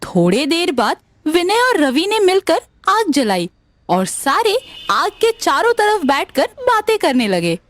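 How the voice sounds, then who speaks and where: A woman narrating scripted animated stories as the storyteller. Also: very high voice, a little slow.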